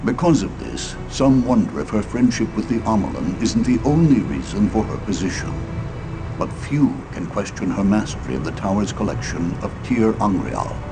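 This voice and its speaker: A man playing a solemn storyteller, narrating an epic fantasy legend in a portentous, dramatic way for a video game. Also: fairly low pitch. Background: loud music.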